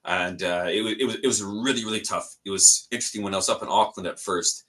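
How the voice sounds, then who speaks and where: A man's voice: on the low side, quick pace. A young Canadian man with a Canadian accent, a podcast guest casually telling his story and acting out the people in it. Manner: animated, acts out quoted people in his stories.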